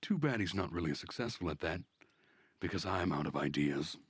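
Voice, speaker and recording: Very deep-voiced man reading a story aloud. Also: animated, moderate pace, husky, dry recording. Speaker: streamer reading a visual novel aloud. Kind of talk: narration of a story read aloud.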